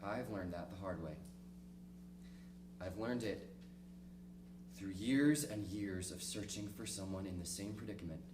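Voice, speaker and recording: A man acting a lonely, cynical middle-aged diarist in a dramatic stage reading, speaking reflectively and ruefully with halting pauses. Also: low-pitched. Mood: wistful, longing. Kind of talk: dramatic monologue in a one-act play reading. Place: small theater stage, recorded from the audience distance. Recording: distant, echoey stage recording with noisy hum.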